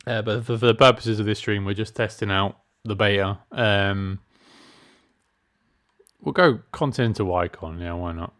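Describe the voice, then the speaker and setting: A man's voice: fairly low pitch, moderate pace, a bit bright. A middle-aged man, chatting casually and self-mockingly while reading game menus aloud. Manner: chatty, self-deprecating, reads menu options aloud. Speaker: gaming streamer. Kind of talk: casual let's-play commentary.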